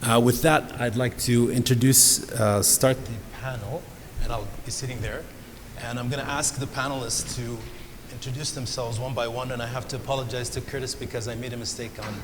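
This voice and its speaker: A man's voice: medium pitch, steady tone. Man moderating a panel in a noisy hall. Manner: halting, restarting phrases. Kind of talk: conference panel introduction. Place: large conference hall. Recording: reverberant hall with clear background noise.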